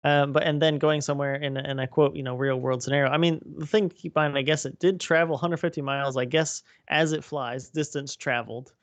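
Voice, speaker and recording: A man's voice: fairly high pitch, fairly even intonation, fairly quick, hardly any pauses, no echo. A man co-hosting a casual show, thinking aloud hesitantly with many fillers. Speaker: podcast co-host. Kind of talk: casual chat.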